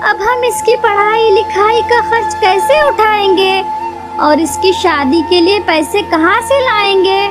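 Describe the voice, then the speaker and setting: A woman's voice: extremely high pitch, takes it slowly. A woman voicing a worried old mother-in-law crow in a children's cartoon, fretting and complaining. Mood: dismayed complaining. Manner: fretful, complaining. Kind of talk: Hindi children's cartoon dialogue. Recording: loud music behind the voice.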